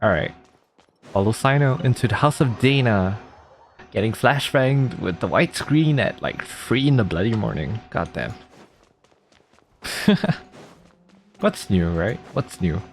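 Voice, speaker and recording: An animated game streamer with a mid-pitched voice that moves around a lot. The talk is casual and sweary, easily amused, reacting live over soft game music. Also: measured pace, long pauses. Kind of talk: live gameplay commentary with read-aloud game text and reactions. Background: video game music.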